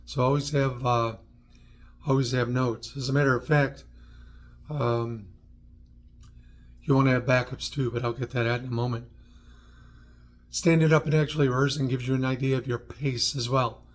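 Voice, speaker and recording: A man's voice: mid-range voice, little pitch movement, moderate pace, pauses often. American man giving conversational how-to advice. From New York, United States. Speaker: presenter of a how-to video series on public speaking. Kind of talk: instructional talk to camera.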